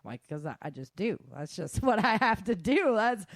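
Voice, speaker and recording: A woman's voice: medium pitch, very animated, normal speed, dry recording, clean studio sound. A middle-aged woman talking casually and frankly, giving emphatic, informal advice as an instructor. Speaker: self-defense instructor and guest.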